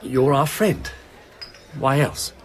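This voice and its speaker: An actor playing a comedy troupe member, a firm and loyal friend, in a radio drama. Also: male voice, mid-range voice, slow, a little hiss or hum, close to an everyday voice. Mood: firm, caring.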